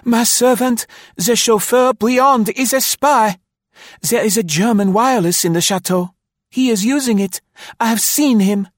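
Man doing a breathless, pleading woman, high-pitched. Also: fairly even intonation, moderate pace, dry recording, clean studio sound. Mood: breathless alarm. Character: panicked, gasping French woman spy feigning remorse. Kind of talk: audiobook character acting.

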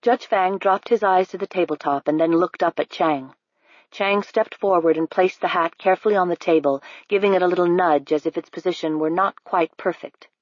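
A woman narrating an audiobook in an even, unhurried storytelling manner. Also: lowish voice, dry recording, dull audio. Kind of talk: scripted narration.

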